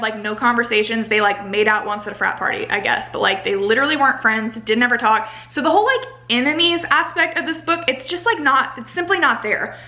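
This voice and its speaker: A young American woman giving a casual, chatty book review in an animated, exasperated ranting manner, saying "like" a lot. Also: on the high side. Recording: roomy home echo.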